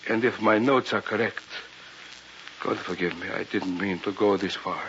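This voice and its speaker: An actor playing a secretive, authoritative doctor in an old-time radio drama, on a muffled, hissy recording. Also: male voice, fairly low pitch, steady tone, normal speed, fairly bright tone. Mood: grave. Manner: formal, authoritative, theatrical. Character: secretive doctor, commanding host of an island weekend.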